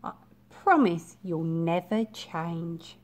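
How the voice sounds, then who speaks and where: A woman's voice: on the low side, slow, darkish tone, voice pushed down. A woman voicing a romantic, easily hurt female caterpillar in a storybook, with emphatic delivery. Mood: adoring. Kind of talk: children's storybook read-aloud.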